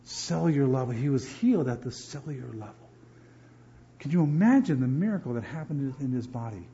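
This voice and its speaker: A man from New Jersey preaching a sermon as pastor, animated and often repeating words as he builds emphasis. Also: medium pitch, brisk, highs cut off.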